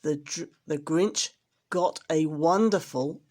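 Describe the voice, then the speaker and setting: A woman's voice: deep voice, slow, warm tone. A woman storyteller reading a children's storybook expressively, with dramatic emphasis on repeated words.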